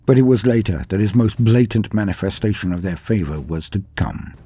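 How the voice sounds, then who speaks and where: Male narrator, low and raspy, grandiose dramatic storyteller for scripted fantasy lore. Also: a little fast, mellow, faint music behind. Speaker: narrator and presenter of a lore channel.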